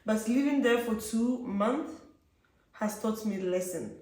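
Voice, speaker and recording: A woman's voice: a little high, takes it slowly, darkish tone. A woman chatting casually with her husband as co-host of their travel vlog, teasing him and giggling often. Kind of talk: casual couple chat. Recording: very echoey room.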